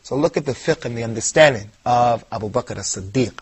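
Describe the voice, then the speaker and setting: A man's voice: medium pitch, fairly quick, breathy edge. A man giving a televised religious lecture, speaking emphatically and repeating key points for stress. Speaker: Islamic lecturer.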